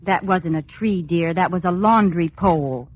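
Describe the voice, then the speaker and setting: An actress playing a bubbly, teasing, affectionate suburban housewife in an old radio comedy, with a playfully wry, animated manner. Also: female voice, fairly low pitch, normal speed, heavy background noise, lightly acted. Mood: chatty, admiring. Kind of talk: 1940s-50s radio comedy acting. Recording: muffled vintage radio recording.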